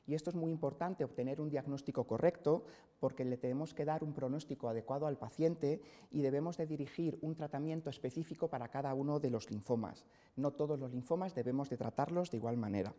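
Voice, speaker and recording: A middle-aged man from central Spain giving a measured scientific lecture to colleagues in a hospital auditorium, pausing often on "eh". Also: on the high side, dark tone. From Spain, Castilla-La Mancha. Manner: measured, explanatory, frequent "eh" fillers. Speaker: hematologist lecturer. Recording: long hall reverb from a lecture hall.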